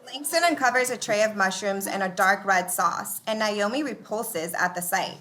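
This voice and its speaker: Woman reading sitcom stage directions, bright clear-cut delivery, bit of background noise. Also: medium pitch, moderate pace. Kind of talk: scripted narration of a sitcom table read.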